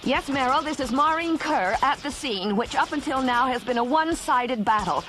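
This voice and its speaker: A woman's voice: high-pitched, animated, normal speed, fairly bright tone, slight background noise. A woman playing an alarmed field reporter describing an alien attack in an audio drama, with a tense eyewitness delivery. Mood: urgent, reporting.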